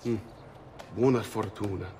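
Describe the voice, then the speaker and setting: An actor playing a sly, cocky leader of thieves in a video game cutscene. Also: male voice, fairly low pitch, normal speed, noisy background, natural voice. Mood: instructing. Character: sly, cocky Italian thieves' guild leader, teasing guide.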